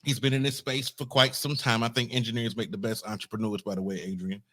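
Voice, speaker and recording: An American man hosting an interview, introducing his guest in a friendly, relaxed way with short restarting phrases. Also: fairly low pitch, fast talker. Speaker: host.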